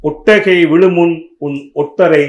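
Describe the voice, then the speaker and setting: A man's voice: fairly high pitch, steady tone, slow pace. A man reciting poetry in emphatic, parallel phrases. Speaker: poet. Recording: dull, echoey room sound.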